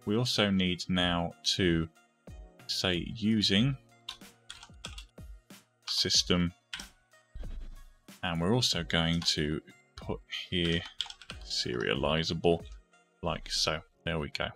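A man's voice: low-pitched, takes it slowly, long pauses, bright, forceful tone, faint music behind. A man hosting a step-by-step coding tutorial, informally thinking aloud as he goes. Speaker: tutorial host.

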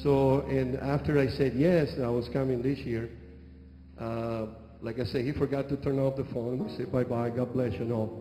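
A man's voice: mid-range voice, steady tone, mellow. Middle-aged argentine guy preaching in english, spanish accent, lots of uh and restarts, big echoey church, music going behind. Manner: halting, frequent restarts and fillers, humorous storytelling. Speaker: bishop. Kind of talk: sermon. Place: large church sanctuary on a stage. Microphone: handheld mic.

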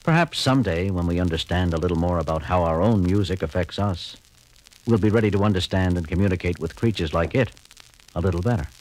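An actor playing a resolute male starship captain who gives clipped commands and muses slowly, in a 1970s audio drama. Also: low-pitched, a little mellow, natural voice, rough recording. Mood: reflective. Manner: clipped commands, then slow reflective speech. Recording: music score behind voice.

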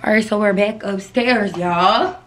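A woman's voice: medium pitch, measured pace. A young woman chatting straight to her phone camera in her apartment, talking to viewers as y'all. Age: young adult. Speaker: vlogger. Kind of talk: casual vlog talk to camera. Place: apartment indoors. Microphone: phone camera held close. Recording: noisy phone recording.

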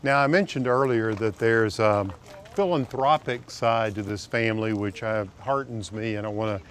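A man's voice: lowish voice, moderate pace, slight background noise. A middle-aged man hosts a garden show outdoors at a farmer's market, talking enthusiastically and directly to viewers on a lapel mic. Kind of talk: on-location garden tour narration.

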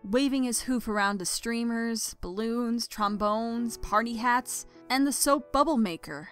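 A woman's voice: a little high, measured pace, a bit bright, clean tone, soft background music. A woman reading scripted story narration expressively as the narrator.

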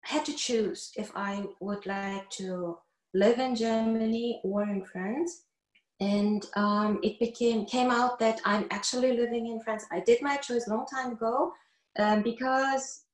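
A middle-aged woman from Korea, a flutist talking on a panel over a video call in an earnest way, searching for words between many fillers. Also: mid-range voice. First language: not English. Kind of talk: casual panel talk. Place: wood-panelled room indoors. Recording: echoey room on a video call.

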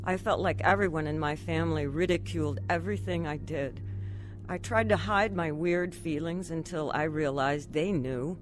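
An actress plays a troubled young woman in a radio drama, confessional and anxious. Her voice sits fairly low and she speaks with raw earnestness. Faint music runs behind her. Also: natural voice. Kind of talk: radio drama testimony narration and acting.

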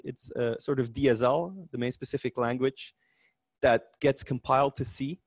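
Dutch-accented man giving a lively tech talk. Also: medium pitch, no echo. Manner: lively, joking, self-correcting restarts. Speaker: conference speaker. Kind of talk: technical meetup talk. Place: meetup room.

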